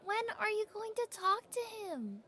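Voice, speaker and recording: Audio drama: nosy young castle maid, tiny high-pitched voice, chummy, probing, informal. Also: female voice, very animated, a little slow, bright, forceful tone, exaggerated voice. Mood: curious, probing. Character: nosy, familiar young female castle coworker.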